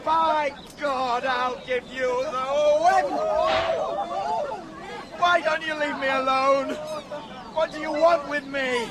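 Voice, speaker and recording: An actor in a radio drama playing a tormented young savage who shouts in wild, guilt-ridden outbursts, over a loud crowd and street noise. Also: male voice, extremely high pitch, fairly even intonation, slow pace, long pauses. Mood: furious.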